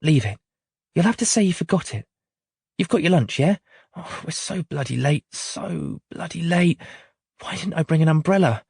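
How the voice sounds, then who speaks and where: A man's voice: on the high side, expressive, normal speed, a little mellow, breathy edge, no echo, studio quality, voice pushed up, full character voice. A man voicing a frazzled mum. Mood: stressed. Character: stressed, harried young mother.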